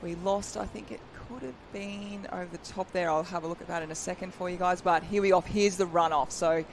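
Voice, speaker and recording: A man's voice: sits high, fairly even intonation, fairly quick, gravelly, slight background noise. Enthusiastic male expert co-commentator. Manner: enthusiastic, explains technique, chatty. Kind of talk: live sports commentary.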